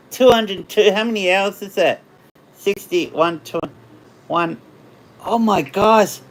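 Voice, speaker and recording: An Australian man hosting a casual livestream, chatting with viewers in a rambling way, his voice slightly hoarse after hours of talking. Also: high-pitched, poor audio. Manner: rambling, chatty, laughs often. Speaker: livestream host. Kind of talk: casual live chat with viewers.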